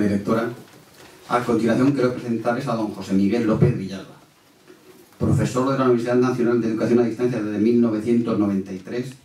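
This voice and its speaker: Roomy, mellow older Spanish man reading formally. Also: on the low side. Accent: Spain Spanish. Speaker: presenter introducing a speaker. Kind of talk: formal read introduction. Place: lecture room at a panel table. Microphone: gooseneck table mic, distant pickup. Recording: echoey hall, distant microphone.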